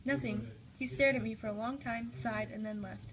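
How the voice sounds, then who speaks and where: A woman's voice: a little high, steady tone, normal speed, a crowd in the background, lightly acted. A woman playing a dutiful, obedient young noblewoman in an amateur puppet show. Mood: troubled.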